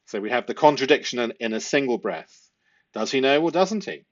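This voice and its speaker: A man giving analytical commentary as a host, reading from a transcript in halting, stop-start phrases and stressing key words. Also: mid-range voice, lively intonation, dry recording. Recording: dull, muffled lo-fi audio.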